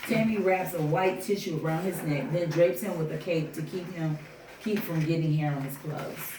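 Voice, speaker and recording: A person reading screenplay stage directions aloud at a table reading, a little haltingly. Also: medium pitch. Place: indoor room at a table reading. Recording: echoey room from far off, faint noise.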